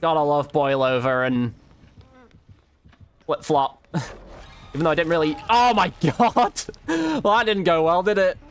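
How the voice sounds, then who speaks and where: Male gamer, higher-pitched voice, very lively intonation, casual and exclamatory live commentary. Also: unhurried, pauses often, clean tone. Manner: reactive, exclamatory, slangy. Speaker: video game streamer, YouTube host.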